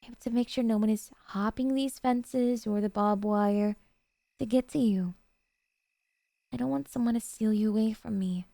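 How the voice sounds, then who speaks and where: A woman acts out a doting yandere girlfriend for an ASMR roleplay, with a dark, mellow tone and a touch of creak. She speaks softly and intimately, sweet yet possessive and obsessive, in a very dry, close recording. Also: fairly high pitch, normal speed, dry recording.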